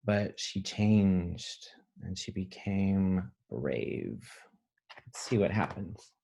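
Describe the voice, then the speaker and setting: A man, an elementary school teacher, reading a picture book aloud expressively and pausing to explain it in simple words for children. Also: deep voice, animated, creaky, mellow, slightly rough.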